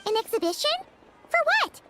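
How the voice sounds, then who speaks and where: A woman voicing a small, excitable, childlike fairy companion with a squeaky voice in a video game. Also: moderate pace, faint music behind, full character voice. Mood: bubbly, eager. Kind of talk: English video game voice acting.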